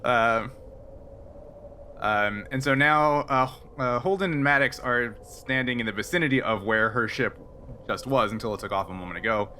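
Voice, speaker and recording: A man's voice: mid-range voice, moderate pace, bright, forceful tone, slight background noise. A man narrating and refereeing a tabletop roleplaying game in an easygoing, conversational way. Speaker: game master. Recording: background music under the voice.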